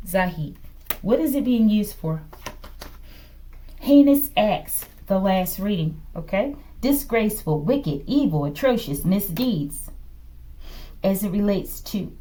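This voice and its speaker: Woman tarot reader with lively, swooping pitch. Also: slow pace, pauses often, low-quality recording. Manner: fragmented delivery, murmuring to self, often ending phrases with a questioning "okay?". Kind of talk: improvised tarot reading to camera.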